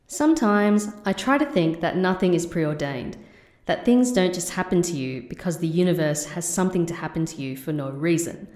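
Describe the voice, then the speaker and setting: A woman's voice: fairly low pitch, lively intonation, normal speed, a little mellow, lightly acted. An actress playing a reluctant, sardonic female ex-secret agent with a dry, grudging manner in an audio drama. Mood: reflective. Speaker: voice actor. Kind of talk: anime-style audio drama acting.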